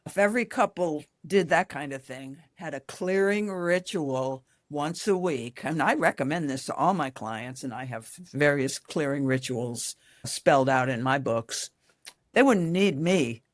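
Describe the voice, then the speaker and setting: A woman's voice: deep voice, animated, unhurried, no echo. Guest in her eighties, playful coach, lighthearted and laughs easily, dry close sound. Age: 80s. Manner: warm-humored, laughs easily. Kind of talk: casual podcast conversation.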